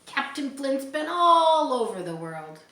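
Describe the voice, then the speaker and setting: A woman voicing a seasoned male pirate cook who spins boastful yarns in an expressive storybook reading. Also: high-pitched, unhurried, far from the mic, voice pushed up, full character voice. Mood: storytelling. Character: Long John, seasoned one-legged pirate ship's cook, boastful storyteller. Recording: roomy echo, faint background noise.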